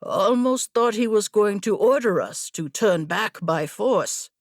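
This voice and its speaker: A woman's voice: mid-range voice, lively intonation, measured pace, no room sound, clean studio sound. A woman voicing a wry, weary older male rider with an easygoing manner in a fantasy audiobook. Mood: musing. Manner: wry, laid-back. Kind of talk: audiobook fantasy character dialogue.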